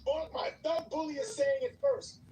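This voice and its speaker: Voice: high voice, lively intonation, normal speed, dark tone, reverberant. An irritated, worked-up speaker arguing insistently and repetitively in a heated group debate, with swearing. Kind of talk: heated debate in a live online group audio discussion. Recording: distant mic, noticeable background noise.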